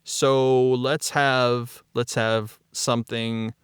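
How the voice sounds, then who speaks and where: A middle-aged man talking casually as a voice actor and creator in a cast discussion, telling stories in an animated way with mild swearing. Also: medium pitch, very slow, clean tone, dry recording. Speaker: voice actor and audio drama creator.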